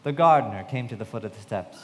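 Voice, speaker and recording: An actor playing a reflective, loyal young narrator in a live radio play, with measured dramatic delivery in a reverberant hall. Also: male voice, mid-range voice, animated, a little hiss or hum, natural voice. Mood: reflective, sombre.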